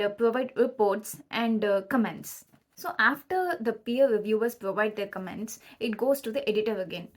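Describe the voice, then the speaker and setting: Young Tamil-accented woman explaining. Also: medium pitch. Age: young adult. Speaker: PhD student presenting educational videos. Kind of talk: explanatory educational video presentation.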